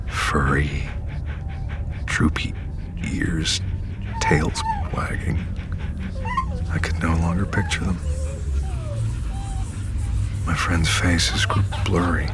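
Male lead narrator, audio fiction: bass-deep, slow, despairing, weary, often half-whispered. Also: heavily acted. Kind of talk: dramatic first-person audio fiction narration.

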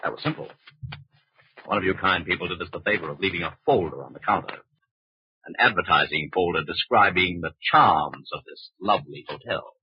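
A man's voice: sits low, brisk, slight background noise, lightly acted. A man playing an unflappable, dryly taunting American FBI agent in a 1940s radio crime drama, methodical and matter-of-fact. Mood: coolly explaining. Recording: muffled, dull vintage 1940s radio recording.

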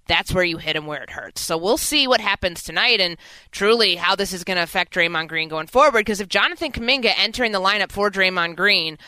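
A woman sports radio host with a bright, forceful voice and very clear, crisp delivery. She gives fluent, assertive commentary in a dry, close studio sound. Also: mid-range voice, fairly quick, no room sound, rough recording.

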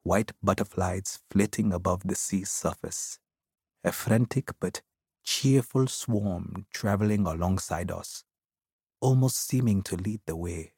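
Reflective immigrant man, African accent, husky voice, lowish pitch, literary audiobook. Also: measured pace, a little mellow, dry recording, studio quality, exaggerated voice. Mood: wistful, reflective. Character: reflective immigrant man narrating his story.

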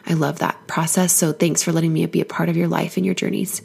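A woman hoarse from a cold, giving an earnest, encouraging solo podcast talk as a life coach. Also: lowish voice, level tone, fast talker, darkish tone.

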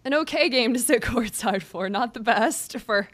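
A woman's voice: fairly high pitch, normal speed. A young woman, a sports reporter hosting a podcast, asking questions in a casual, filler-heavy way. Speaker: beat reporter, podcast host. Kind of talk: casual interview chat.

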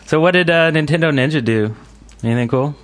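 A man's voice: fairly high pitch, brisk. A man co-hosting a gaming podcast with casual banter. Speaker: podcast co-host.

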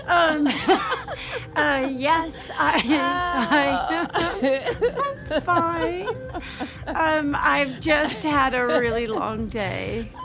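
A woman's voice: high voice, very slow, music in the background, exaggerated voice. An actress playing an awkward, flustered newly single mother in a naturalistic audio drama, speaking hesitantly and stammering nervously.

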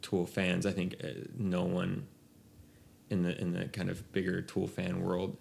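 A man's voice: low voice, completely flat delivery, unhurried, gravelly, darkish tone, a little hiss or hum. A young man chatting casually about music on a podcast, rambling with many restarts. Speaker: podcast co-host.

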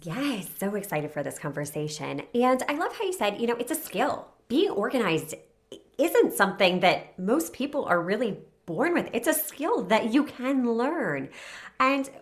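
A woman's voice: on the high side, sing-song, normal speed. A woman hosting a podcast, presenting and leading a conversational interview. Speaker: podcast host. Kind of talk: scripted intro and conversational interviewing.

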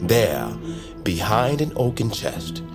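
Guy acting as conspiratorial assassin guild master, slow n theatrical, very swoopy delivery, fantasy audio drama, music behind. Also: on the low side, big pitch swings, full character voice. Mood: sly, instructing. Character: scheming bird-folk master of a secret assassin order. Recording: music under the voice.

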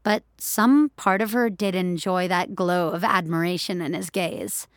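A woman's voice: on the low side, measured pace, no echo, studio quality. A woman narrating scripted fiction as a storyteller.

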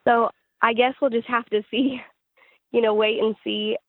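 A woman's voice: fairly high pitch, level tone, unhurried, no echo. Woman on a tinny phone line. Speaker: singer-songwriter being interviewed. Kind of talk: casual phone interview. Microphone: telephone call.

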